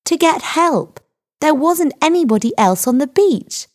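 A woman's voice: lowish voice, expressive, moderate pace, close to an everyday voice. A woman voicing an indignant sixteen-year-old girl in a story, firm, impatient and emphatic. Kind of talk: audiobook character dialogue.

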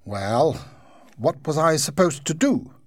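A man's voice: on the high side, sing-song, slow, no room sound, clean studio sound, pitched above the performer's own voice. A man playing a pompous old ghost. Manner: pompous, theatrical. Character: old, proud, self-pitying male ghost.

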